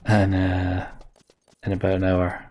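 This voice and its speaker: A man's voice: deep voice, monotone, measured pace, darkish tone. A man doing casual live commentary on a video game, rambling and thinking aloud as he plays. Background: game music, keyboard typing.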